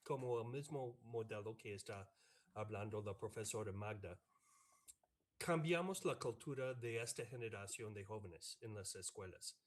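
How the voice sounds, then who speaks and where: An American man, a professor and native English speaker, answering in a panel over a video call, halting with many fillers and restarts. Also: on the low side. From United States. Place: online video meeting. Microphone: computer mic on a video call.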